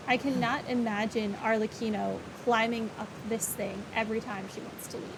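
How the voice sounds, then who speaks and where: A woman's voice: a little high, steady tone, unhurried, background noise. A woman giving casual, chatty and wry commentary as she plays a video game. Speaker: gaming streamer.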